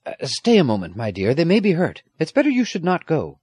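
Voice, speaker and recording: A man voicing a hearty old country squire and doting grandfather in an audiobook, excitable in manner. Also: fairly high pitch, fairly quick, husky, no echo, pitched above the performer's own voice, heavily acted. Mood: cautioning. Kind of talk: audiobook character acting.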